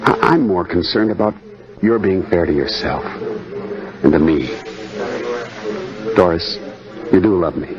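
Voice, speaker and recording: An actor playing a frustrated, insistent suitor in old-time radio drama style, earnest with a bitter edge. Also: male voice, low-pitched, a little slow, long pauses, music in the background. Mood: pleading. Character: frustrated, insistent suitor pressing his sweetheart to leave. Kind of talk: 1940s-50s radio drama acting. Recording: dull old radio recording, crowd chatter behind.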